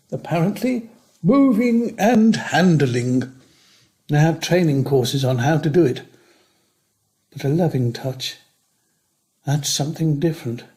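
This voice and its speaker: Man acting slow, wry elderly care-home resident. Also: on the high side, darkish tone. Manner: reflective, wry, measured with pauses. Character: lonely, wry retired male teacher near eighty in care home. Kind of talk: dramatic monologue reading.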